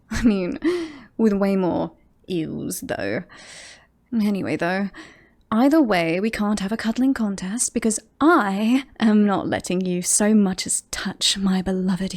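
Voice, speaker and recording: A woman playing a possessive, lovestruck female werewolf in a roleplay audio drama, teasing and boastful, often slipping into breathy whispers. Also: mid-range voice, lively intonation, a little mellow. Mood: dry, possessive. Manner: slow, breathy asides, often dropping into whispers.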